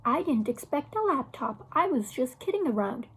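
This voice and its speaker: A woman's voice: high voice, sing-song, normal speed, a bit bright, slightly rough, full character voice, rough recording. A woman voicing a patient, practical schoolboy older brother in a children's storybook, casual and questioning. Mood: puzzled.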